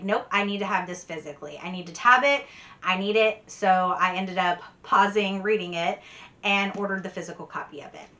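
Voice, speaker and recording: A woman's voice: mid-range voice, bright, forceful tone. A woman book vlogger chatting casually and enthusiastically, with giggly, emphatic repetition. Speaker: book vlogger answering tag questions. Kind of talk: casual chat to camera.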